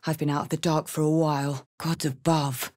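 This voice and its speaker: A battle-hardened tiefling barbarian woman, voiced by an actress, speaks in a fairly low register. She is blunt, salty and brash, in the manner of a fantasy video game companion who has fought her way out of the hells. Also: level tone, normal speed, a little mellow, natural voice. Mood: guarded. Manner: blunt, earthy, salty-tongued. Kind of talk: video game character voice lines.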